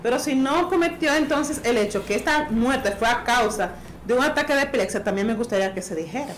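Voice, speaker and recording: A woman in her thirties comments on a studio talk panel with a slightly high voice. She talks haltingly, repeating and restarting phrases. The studio sounds roomy, with a faint hiss behind her. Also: expressive. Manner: hesitant, repeats words and restarts. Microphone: boom studio mic.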